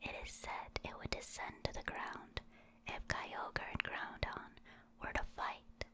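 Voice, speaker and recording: A woman reading short reference entries as ASMR in a soft, soothing, deliberate whisper. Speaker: ASMR creator reading game creature entries.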